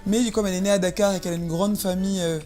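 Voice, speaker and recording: A woman's voice: mid-range voice, fairly even intonation, rapid-fire, warm tone, soft background music. A woman of about thirty from Paris, speaking casually as an interview guest, often repeating words as she searches for phrasing. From Paris, France.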